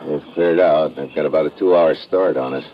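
A man's voice: rumbling low, a little fast. An actor playing a tough, wry adventurer who narrates his own story in a laconic old-time radio drama style. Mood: alert, wry. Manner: laconic, clipped, hardboiled. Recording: dull, noisy old radio recording.

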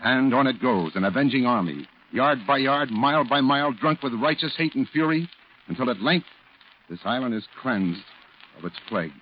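An actor playing a solemn war correspondent, narrating a 1940s radio drama in a grave, declamatory way. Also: male voice, mid-range voice, animated, normal speed, a little hiss or hum, natural voice. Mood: fervent, rousing. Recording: dull old radio recording with music.

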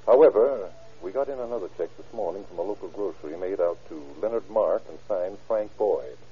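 A man's voice: low voice, fairly quick, breathy edge. An actor playing a matter-of-fact man reporting on a police case in an old radio drama, plain and explanatory. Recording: dull old radio recording.

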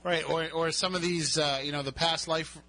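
Man, Boston accent, radio host, bright voice, a bit high, chatty and jokey. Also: steady tone, moderate pace. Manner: chatty, jokey, laughs often. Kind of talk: casual interview chat. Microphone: studio mic.

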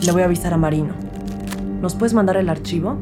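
A woman's voice: fairly low pitch, quick pace, loud music behind the voice, natural voice. A woman playing an earnest rookie detective who narrates her case in a scripted audio drama. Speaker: narrator and lead character.

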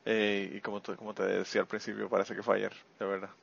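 A man's voice: on the low side, level tone, fast, gravelly, a little hiss or hum. A man hosting a casual conversation, friendly and given to laughter and rambling asides. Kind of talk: casual interview chat. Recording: muffled lo-fi dry audio.

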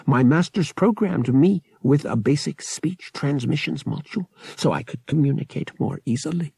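Small dutiful robot, storybook sci-fi, mechanical, matter-of-fact, formal, raised pitch. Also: male voice, normal speed, creaky, warm tone, husky, dry recording. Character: polite, literal-minded little astromech droid on watch.

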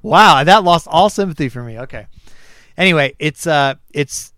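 A man's voice: fairly high pitch, expressive, normal speed, pushed and bright, no echo. A man hosting a podcast in casual chat, losing his voice and rambling with many fillers and restarts. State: losing his voice, clearing his throat. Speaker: podcast host. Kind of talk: casual chat, reading a sponsor spot.

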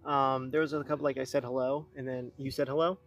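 A man's voice: medium pitch, level tone, fast talker, no room sound. A man talking casually outdoors during a ghost hunt. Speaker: paranormal investigator. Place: outdoors in a park.

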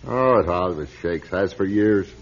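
A weary old priest, echoing, swooping pitch. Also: male voice, expressive, a little slow. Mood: guarded, wry. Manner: muttering to himself, exclaiming, evasive. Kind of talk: old-time radio drama acting. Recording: dull, noisy radio recording in echoing hall.

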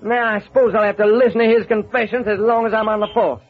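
A skeptical, plain-spoken police detective in a vintage radio drama. The voice is high and rough, brisk and matter-of-fact, through muffled, narrow sound. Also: male voice, steady tone. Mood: resigned. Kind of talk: old-time radio crime drama acting.

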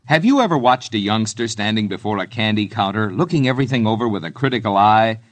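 Lively, persuasive American radio ad man. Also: on the low side, fast talker. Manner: folksy, persuasive. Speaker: commercial announcer. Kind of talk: old-time radio advertising read.

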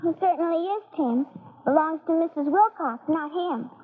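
Little schoolgirl, very high voice, pert, know-it-all, muffled old radio drama. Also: expressive, normal speed. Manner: pert, matter-of-fact. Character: bright, know-it-all young schoolgirl, sisterly. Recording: muffled lo-fi vintage radio recording.

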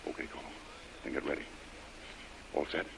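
An American actor playing a tough, commanding adventurer in an old-time radio drama, giving brisk, impatient orders. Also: male voice, natural voice. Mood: hushed, tense. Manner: brisk, authoritative, clipped orders. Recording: old radio broadcast with faint noise.

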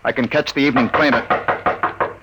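A man's voice: mid-range voice, steady tone, normal speed, heavy background noise, natural voice. An actor playing an arrested doctor in a 1950s radio drama, speaking urgently and insisting indignantly on his rights. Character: determined galactic-citizen doctor under arrest, adult man.

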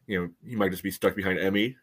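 Male sports podcast panelist, lowish voice, casual analysis, measured and hedging delivery. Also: fairly even intonation, husky.

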